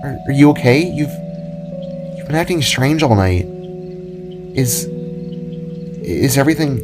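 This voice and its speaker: A small, caring spark boy from an audio story, played by a man, speaking in a raised pitch. He is apologetic and hesitant, tripping over his words, with music behind him. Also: lively intonation, unhurried, lightly acted. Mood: apologetic, concerned. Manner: stammering, hesitant. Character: timid, caring young male spark creature, apologetic.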